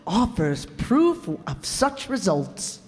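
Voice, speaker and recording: Unhurried woman announcer in echoey hall. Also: medium pitch, sing-song, darkish tone, slight background noise. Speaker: commercial announcer. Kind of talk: 1940s radio advertisement reading. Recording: very echoey hall stage recording.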